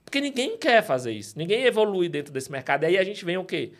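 A man from Ceará, a podcast guest talking in an animated, emphatic, exasperated way. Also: high voice, fast. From Ceará, Brazil. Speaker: guest, payments company director. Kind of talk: casual podcast interview.

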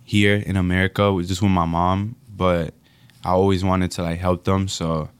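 A young man from the Dominican Republic, a rapper being interviewed, speaking reflectively and haltingly with many fillers. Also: sits low, little pitch movement, clear, resonant tone, dry recording. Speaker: rapper guest. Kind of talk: casual interview.

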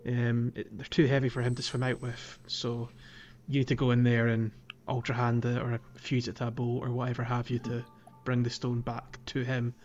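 A man's voice: medium pitch, monotone, moderate pace, few pauses, a little mellow, lo-fi sound, a little hiss or hum. A man co-hosting a casual gaming podcast, talking in a loose, rambling way with lots of fillers and restarts. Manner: rambling, hesitant with many fillers. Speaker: podcast co-host.

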